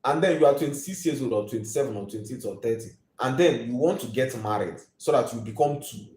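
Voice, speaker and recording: A man's voice: medium pitch, expressive, fast talker, darkish tone, echoey room. A Nigerian man in his twenties hosting a live video, ranting emphatically and preachily and repeating his points. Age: 20s.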